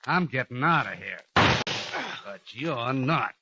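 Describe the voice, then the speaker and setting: Tough blunt crook on the run, bright voice, hissy old radio sound. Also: male voice, mid-range voice, very animated, slow, muffled recording, full character voice. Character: rough desperate male smuggler on the run. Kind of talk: 1950s radio drama acting.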